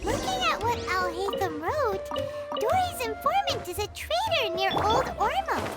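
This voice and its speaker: A woman voicing a small, childlike and nervous fairy companion in a video game, chatty and excitable. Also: extremely high pitch, unhurried, loud music behind the voice, heavily acted. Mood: curious, puzzled.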